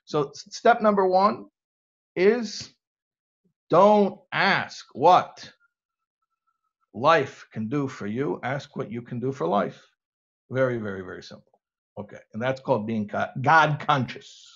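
A middle-aged man teaching with an easy, animated delivery and a mid-pitched voice. He leaps higher when he acts out nervous inner questions. The sound is dull and lo-fi, like a web stream. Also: slow, gravelly, pauses often. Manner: animated, mimics anxious self-questioning voices. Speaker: rabbi giving a talk to students. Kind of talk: informal religious talk on a video call.

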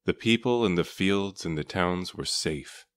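A man with a low voice narrates a children's storybook. He reads aloud in measured, deliberate phrases. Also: clean tone. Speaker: storybook reader.

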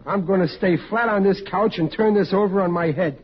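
A man's voice: sits high, brisk, husky. An actor playing a hapless, dejected husband and father with a plain, folksy, ungrammatical way of talking in a 1940s radio comedy. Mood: glum, self-pitying. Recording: muffled, noisy 1940s radio recording.

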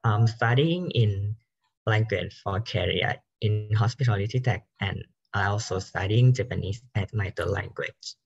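A man's voice: fairly low pitch, measured pace, vocal fry, husky, muffled recording. A man, a student giving prepared, formal interview answers over a video call. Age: 20s. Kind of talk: rehearsed job interview answers.

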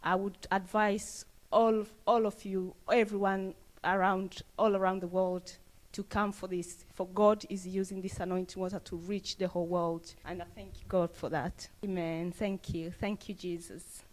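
A woman, giving an earnest, halting testimony into a handheld mic indoors. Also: mid-range voice, little pitch movement, darkish tone, poor audio. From Tanzania. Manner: earnest, halting with fillers and restarts. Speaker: church member giving testimony. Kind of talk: on-camera testimony interview. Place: indoors, plain room. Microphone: handheld interview mic held up to her.

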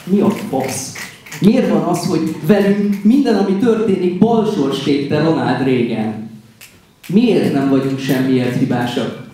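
A man's voice: sits high, a little mellow, far from the mic, slight background noise. A man performing slam poetry with an impassioned, rhetorical, accusatory delivery. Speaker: slam poet. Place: live slam poetry stage at a competition. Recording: roomy, echoing live recording from afar.

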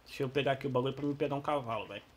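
A Brazilian man who is losing his voice, giving casual, slangy, excitable gameplay commentary. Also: medium pitch, racing, slight background noise. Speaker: gaming YouTuber.

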